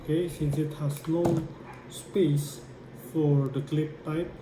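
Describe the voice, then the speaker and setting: Slow-talking man demonstrating in an echoey room. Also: a little high, little pitch movement. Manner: halting, explaining step by step while working. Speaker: tutorial presenter. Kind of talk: hands-on how-to demonstration. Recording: echoey room with clear background noise.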